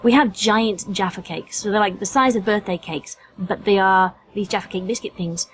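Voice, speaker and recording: A woman's voice: mid-range voice, fairly even intonation, normal speed, a little hiss or hum, poor audio. A British woman chatting informally as a podcast guest, with a self-deprecating, colloquial way of talking. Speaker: featured guest, voice actress. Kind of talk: casual podcast chat.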